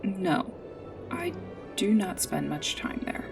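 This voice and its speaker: A woman playing a polite android guide in a sci-fi ASMR roleplay, speaking in a formal, precise, measured way. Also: medium pitch, very animated, vocal fry, recorded from a distance, full character voice. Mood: matter-of-fact. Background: music.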